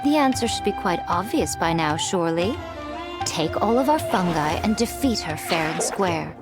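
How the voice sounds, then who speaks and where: A woman playing a composed, shrewd young adviser in a video game, speaking in a measured, formal, superior way. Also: lowish voice, animated, over music. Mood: superior, assured.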